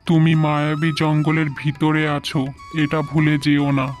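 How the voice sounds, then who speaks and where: A man's voice: a little high, monotone, unhurried, close to an everyday voice. A man voicing a menacing magical snake that talks like a human in a fairy-tale cartoon. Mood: menacing, bargaining. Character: magical talking snake, menacing tester of travellers. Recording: music behind the voice.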